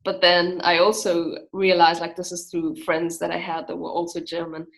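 A woman's voice: on the low side, flat delivery, moderate pace. A woman hosting a podcast, reading a scripted introduction and then asking chatty follow-up questions. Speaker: podcast host. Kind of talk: podcast interview.

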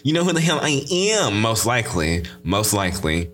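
A young man with a slight lisp hosts his own podcast in casual solo talk. He is sassy and emphatic and leans on repeated catchphrases. His voice is bright and forceful. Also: on the low side, sing-song, moderate pace, slight background noise. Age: young adult. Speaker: podcast host.

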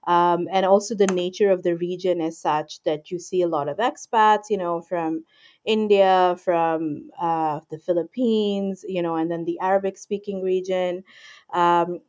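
Woman from pakistan, forties, fairly low voice, measured interview answers, lots of ums. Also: steady tone, a little mellow. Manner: measured, explanatory, many ums and you-knows. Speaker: guest, IP lawyer. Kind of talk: casual interview talk.

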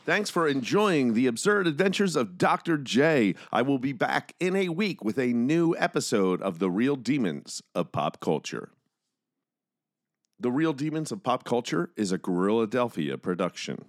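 A man's voice: mid-range voice, big pitch swings, normal speed, no room sound, studio quality. A man hosting a podcast and narrating a scripted first-person story in a dramatic storytelling manner.

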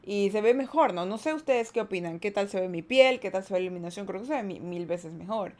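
A woman's voice: medium pitch, lively intonation, fast, a little mellow. A young woman from Guayaquil with an Ecuadorian accent, hosting a casual livestream podcast, chatty and upbeat as she reads the chat. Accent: Ecuadorian Spanish, Guayaquil. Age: young adult. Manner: chatty, upbeat, playful asides. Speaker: streamer and podcast host. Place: indoors at home, daylight room.

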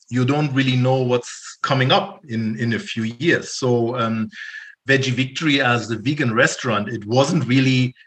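This man from northern Germany speaks with a bright, forceful tone. His pitch sits at a middling level, and he answers casually and at length, often pausing on ums and uhs. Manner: relaxed, rambling, many ums and uhs. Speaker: podcast guest, entrepreneur.

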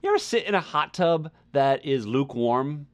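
A man's voice: a little high, expressive, moderate pace, noisy background. A man co-hosting a podcast, giving a casual review and searching for his words as he repeats phrases. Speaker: podcast co-host reviewing music. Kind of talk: casual conversational album review.

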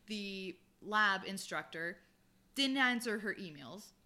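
A woman's voice: medium pitch, expressive, slow, sharp tone. A young woman co-hosting a podcast, chatting candidly and laughing at herself. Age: young adult. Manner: candid, self-deprecating, frequent laughter. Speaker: podcast co-host. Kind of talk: casual chat.

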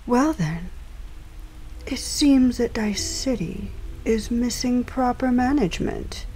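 A woman playing a coolly polite, menacing crime boss in an audio drama, speaking in a deliberate, mockingly genteel way. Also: fairly high pitch, lively intonation, mellow, slightly rough, full character voice. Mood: quietly menacing. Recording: music and rain under the voice.

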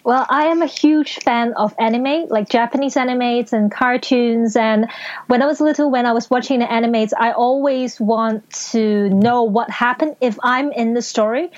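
A woman's voice: on the high side, moderate pace. Hong Kong woman on a dull line. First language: Cantonese. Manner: soft-spoken, hesitant with fillers. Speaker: guest, author and teacher. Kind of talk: casual interview.

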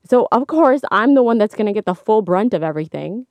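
A young woman hosting a solo podcast, chatting casually into a microphone. She laughs often, and her voice is slightly hoarse. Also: a little fast, dry recording. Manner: chatty, laughs often, animated swings in pitch. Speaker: podcast host. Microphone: studio microphone.

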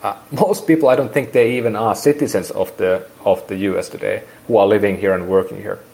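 Man, Finnish accent, 40s, lowish pitch, rambling, casual interview guest. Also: a little fast, gravelly, darkish tone. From Finland. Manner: rambling, many restarts and fillers.

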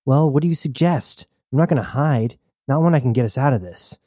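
A woman's voice: very deep voice, a little fast, dark tone, no echo, clean studio sound. A narrator voicing a bold, reckless woman adventurer who talks with brash confidence. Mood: urgent.

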